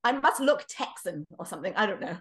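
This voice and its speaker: A woman's voice: mid-range voice, fairly bright tone. A middle-aged woman with a British accent, a guest author chatting on a podcast interview, laughing often and searching for words.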